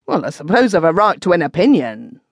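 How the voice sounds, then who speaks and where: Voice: mid-range voice, very animated, fairly quick, no echo, clean studio sound. A reader voicing a pedantic London pub regular who corrects everyone.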